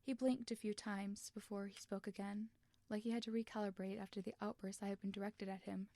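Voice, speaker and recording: A woman's voice: medium pitch, level tone, brisk, dark tone, no echo. A woman narrating fan fiction expressively from a script, as in an audiobook.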